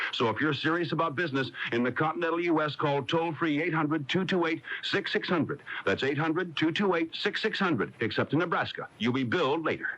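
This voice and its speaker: Higher-pitched American ad announcer, lo-fi radio. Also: male voice, fairly bright tone. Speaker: commercial announcer. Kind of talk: scripted radio advertisement read. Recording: dull lo-fi 1980s radio broadcast tape.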